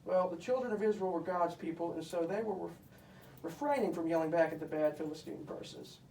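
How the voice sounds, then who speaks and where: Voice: mid-range voice, fairly quick, gravelly, mellow, natural voice. A boastful, bossy puppet kid telling comic Bible stories , voiced by a performer. The delivery is pompous, emphatic and easily exasperated. It is heard from a distance in an echoey room with faint noise. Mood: self-important storytelling. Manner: pompous, emphatic, mock-scholarly.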